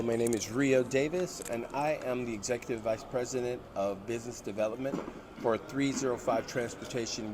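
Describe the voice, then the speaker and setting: A man speaking as a business panelist, telling his career story in a measured, reflective way. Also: on the low side. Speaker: transportation executive, panelist. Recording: noisy venue, urban ambient noise. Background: ambient venue noise.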